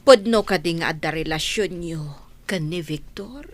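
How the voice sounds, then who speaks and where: A woman's voice: deep voice, normal speed, soft background music. A woman playing a stern, suspicious and outspoken older mother in Filipino-accented radio drama.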